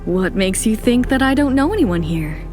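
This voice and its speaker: A woman's voice: fairly high pitch, animated, normal speed, over music, natural voice. A woman voicing a proud, independent young model who speaks with composed determination in an audio drama. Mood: determined.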